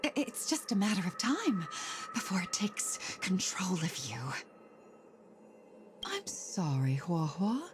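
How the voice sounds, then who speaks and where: A woman's voice: mid-range voice, huge pitch swings, takes it slowly, long pauses. A woman playing an anxious, fearful, sorrowful mother in a dramatic video game scene. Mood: sorrowful, resigned. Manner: agitated, dramatic. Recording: noticeable ambient noise behind.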